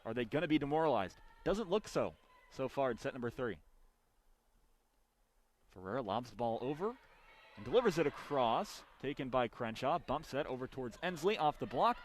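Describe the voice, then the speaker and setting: A man's voice: mid-range voice, very animated, moderate pace. A young man doing live radio play-by-play of volleyball, with punchy, clipped calls that swell on big plays. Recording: background noise of a sports arena. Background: arena ambience.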